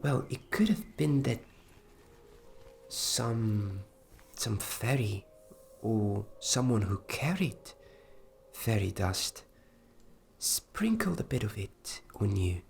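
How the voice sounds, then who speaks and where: A woman playing a gentle, reassuring fairy caretaker in a fantasy ASMR roleplay, speaking in a hushed, unhurried, partly whispered way. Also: extremely low pitch, expressive, gravelly, a little hiss or hum, full character voice. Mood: musing, hushed.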